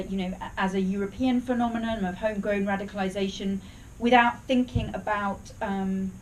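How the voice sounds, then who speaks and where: A woman's voice: medium pitch, a little mellow. A woman researcher, answering audience questions at a lunch briefing in a thoughtful way, often restarting her sentences. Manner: thoughtful, frequent restarts and hedges. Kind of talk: academic Q&A answer. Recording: distant mic, faint room noise.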